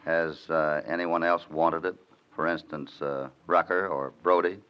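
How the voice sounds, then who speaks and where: An actor playing a calm, authoritative cowboy hero in a 1940s radio western. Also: male voice, a bass voice, a little slow, clear, resonant tone. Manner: steady, authoritative. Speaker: lead hero. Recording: dull old radio recording.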